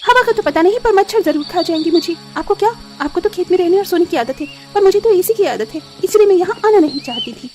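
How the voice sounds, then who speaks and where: A haughty young cartoon sister-in-law, acted by a woman, whines in a very high, pushed-up voice. She snaps and sulks like someone who thinks village life is beneath her. There is clear background noise on the recording. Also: expressive, fast talker, hardly any pauses, a little mellow. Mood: sulky. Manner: whiny, snappish complaining. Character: sulky, haughty young city-minded sister-in-law, complaining.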